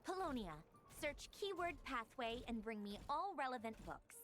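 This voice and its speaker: A woman's voice: high voice, very animated, moderate pace, over music. A woman voicing a studious, analytical young scholar in a video game, precise and bookish as she thinks aloud. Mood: focused, pensive. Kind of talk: animated video game voice acting.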